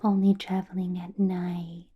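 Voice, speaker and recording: A woman playing a doting, possessive vampire boss in an ASMR roleplay, coaxing and questioning in a breathy, soft whisper with lingering hums. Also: on the low side, flat delivery, measured pace, dull audio. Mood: coaxing, reassuring. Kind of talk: ASMR roleplay, personal attention.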